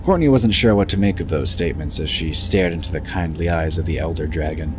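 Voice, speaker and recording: A man's voice: extremely low pitch, fairly quick, noisy background. A man reading scripted narration for a fantasy audio drama. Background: music.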